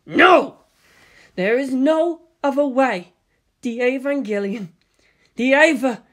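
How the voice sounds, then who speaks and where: A woman's voice: high-pitched, animated, takes it slowly, voice pushed up. Woman playing a tense, clinical female scientist. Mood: strained anger. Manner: terse, technical.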